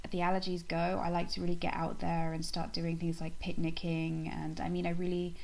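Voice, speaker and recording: Gravelly, low-voiced woman vlogger, slightly nasal. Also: monotone, brisk, a little mellow. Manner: rambling, with many ums and restarts. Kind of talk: casual chat to camera.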